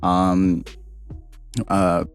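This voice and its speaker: A young man, a street food vendor, telling stories in a relaxed, rambling way with lots of fillers. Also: low-pitched, drawn-out words, vocal fry, smooth, faint music behind. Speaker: guest, street taco vendor. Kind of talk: casual podcast chat.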